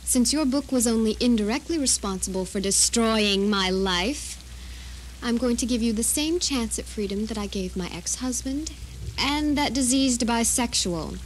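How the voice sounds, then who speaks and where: A woman playing a bitter, vengeful captor in an audio drama, speaking with sardonic, deliberate menace. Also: a little high, animated, lightly acted. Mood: taunting, menacing. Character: vengeful doomed female captor, bitter, taunting, coldly menacing. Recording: faintly noisy radio drama recording.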